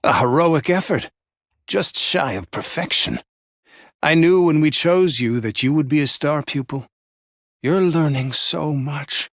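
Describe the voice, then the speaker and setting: Husky, condescending male villain. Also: fairly high pitch, expressive, no echo, studio quality. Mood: grandiose praise. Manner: theatrical, patronizing, measured praise. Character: grandiose, condescending male overseer of a sinister therapy facility. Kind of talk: scripted video game villain voice lines.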